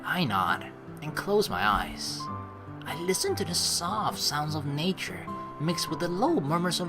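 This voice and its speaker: A woman hosting a let's play, reading game narration aloud and adding casual, expressive, joking commentary. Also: low voice. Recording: soft game music behind. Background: game music.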